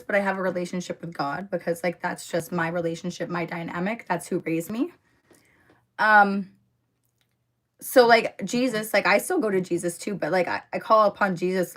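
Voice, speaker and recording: A young woman with a voice hoarse from a cold, chatting casually with viewers during a livestream and filling her talk with "like" and "um". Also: lowish voice, fairly bright tone. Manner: chatty, filler-heavy, talks to viewers in the comments. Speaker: livestream host. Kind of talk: casual livestream chat while doing makeup.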